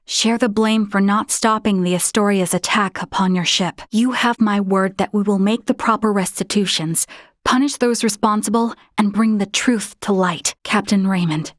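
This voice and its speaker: A woman's voice: medium pitch, steady tone, moderate pace, no room sound, clean studio sound, lightly acted. A woman voicing an earnest, principled young female first officer of a starship in a video game, with formal, precise diction. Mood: earnest, resolute.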